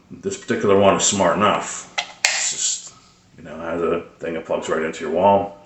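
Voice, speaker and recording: A man giving a casual, unscripted how-to explanation in a hesitant, rambling way with many pauses. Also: sits low, a bit bright, a little hiss or hum. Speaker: presenter of a radio tips video. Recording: roomy, echoing sound.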